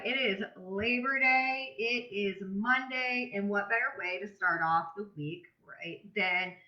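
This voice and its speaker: A woman talking casually and emphatically to followers on a live stream, with informal slang, as a coach for a health and fitness business. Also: mid-range voice. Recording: dull, roomy live-stream audio.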